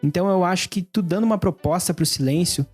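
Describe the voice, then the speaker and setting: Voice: mid-range voice, quick pace, darkish tone, slightly rough, faint music behind. A host with a Brazilian accent, explaining things in an informal, conversational tutorial style. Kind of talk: casual explainer to camera.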